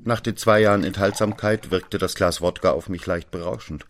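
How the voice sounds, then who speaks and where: A deep-voiced judge narrating a radio play, measured, faint score behind. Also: male voice, steady tone, soft background music. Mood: tired. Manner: measured, descriptive storytelling. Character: male investigating judge, stern narrator. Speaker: first-person narrator. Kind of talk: scripted radio drama narration.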